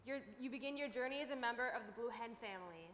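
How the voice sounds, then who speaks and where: Echoey hall, young woman, high bright voice, scripted recitation. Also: fast. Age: young adult. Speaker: student performer in a readers theater.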